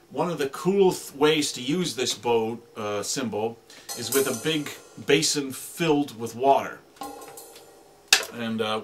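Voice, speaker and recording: A man's voice: medium pitch, a bit bright. A man giving an informal, explanatory demonstration of radio drama sound effects, piling up descriptive words as he talks.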